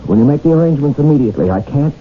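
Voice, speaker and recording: A man's voice: a little high, animated, very fast, lightly acted. An actor playing a lonely, self-pitying blind man of twenty-five in a radio drama, impatient and emotional. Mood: anguished, impatient. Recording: tinny narrow-band old radio broadcast, noise.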